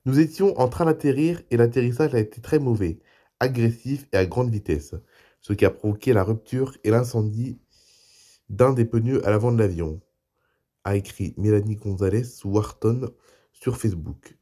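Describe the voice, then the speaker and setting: Soft-spoken man with a head cold. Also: medium pitch, moderate pace. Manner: reads articles, then adds loose spoken asides. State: has a cold, speaks softly. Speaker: YouTube news show host. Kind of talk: reading aviation news aloud with comments.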